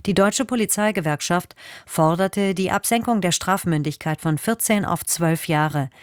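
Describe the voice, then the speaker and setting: Woman reading radio news, low and dry. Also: clean tone, no room sound. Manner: measured, formal delivery. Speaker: newsreader. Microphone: studio microphone.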